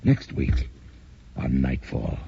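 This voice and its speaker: A man's voice: very animated, dark tone. A man hosting a radio drama, signing off and announcing next week in an ominous tone. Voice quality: deep, husky and slow. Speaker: host. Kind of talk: ominous radio host sign-off. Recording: dull old radio broadcast.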